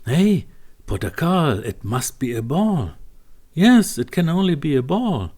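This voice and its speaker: Male narrator as excitable little cat, lifted pitch, eager exclamations, children's storybook. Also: a little slow. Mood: triumphant. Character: excitable young cat friend, eager and bright.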